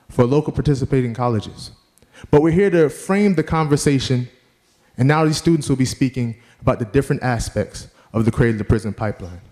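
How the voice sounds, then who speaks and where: A man's voice: medium pitch, a little mellow. A man, a leadership trainer, giving an earnest prepared address that reads out statistics and lists. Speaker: youth leadership trainer and presenter.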